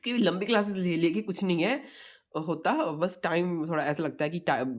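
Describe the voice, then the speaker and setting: A young man speaks hoarsely through a sore throat as he tutors online. He explains each point in a repetitive, chatty way and keeps calling his viewers friends. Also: a little high, fairly quick. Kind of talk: exam revision lecture.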